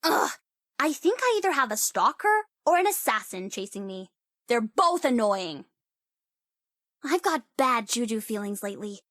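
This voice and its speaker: High-pitched, cocky sorceress. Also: female voice, big pitch swings, moderate pace, a bit bright, dry recording, clean studio sound. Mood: cocky, wry. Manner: theatrical, teasing, swinging into wry asides. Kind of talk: video game character voice lines.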